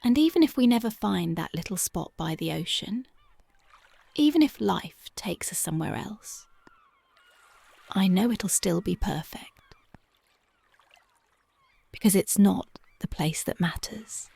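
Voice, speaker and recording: A dreamy young punk girlfriend from an ASMR roleplay, voiced by a woman. Her voice is gravelly and husky, and her words come unhurried and soft. She keeps sinking into close, devoted whispers. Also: medium pitch, expressive, no echo, heavily acted. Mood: tender, dreamy. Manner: soft, often drifting into whispers. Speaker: ASMR voice actress.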